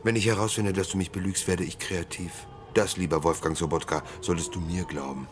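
Man acting a low-voiced, menacing terror commander. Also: steady tone, background noise, natural voice. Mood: quiet menace. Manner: curt, commanding, drawn-out threats. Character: menacing male terror commander, coldly threatening. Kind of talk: German crime radio drama acting.

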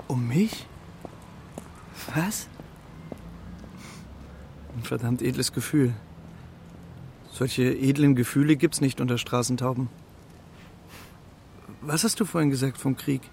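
A man's voice: mid-range voice, slow, vocal fry, long pauses, a little mellow, slight background noise. A man playing a cocky, boastful street pigeon in a children's radio play, swaggering and talking in clipped colloquial bursts. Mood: cocky, dazed. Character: cocky scrappy male street pigeon, boastful and gruff-hearted.

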